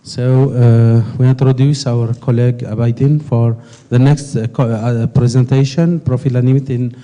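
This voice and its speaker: A man's voice: medium pitch, little pitch movement, normal speed, mellow. A man moderating a conference session, asking questions hesitantly with many fillers. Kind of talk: moderating a panel. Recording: long reverb of a conference hall.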